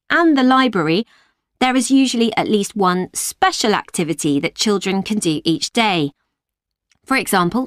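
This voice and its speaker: A woman who runs an after-school club, read by an actress walks parents through the weekly activities. She speaks clearly and at an even, measured pace. The recording is dry and close. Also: medium pitch, no room sound, lightly acted. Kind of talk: scripted exam recording.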